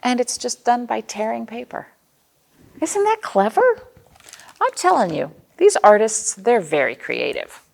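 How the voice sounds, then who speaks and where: Animated woman hosting children's storytime, slightly creaky. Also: fairly high pitch, a little slow. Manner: expressive, asks the listening children questions. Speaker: children's librarian and storytime host. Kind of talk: read-aloud storytime for young children.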